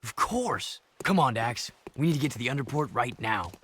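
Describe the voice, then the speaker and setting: A man's voice: mid-range voice, expressive, normal speed, fairly bright tone, slight background noise, close to an everyday voice. Man acting a terse, sardonic game hero. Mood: determined. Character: terse, sardonic, determined young male hero. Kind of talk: video game cutscene acting.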